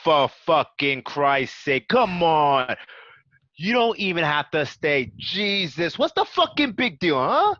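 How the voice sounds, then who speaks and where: A man playing a brash, foul-mouthed, excitable young gangster in an amateur radio play. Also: on the high side, slow, no room sound, heavily acted. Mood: pestering, indignant. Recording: muffled, dry home recording.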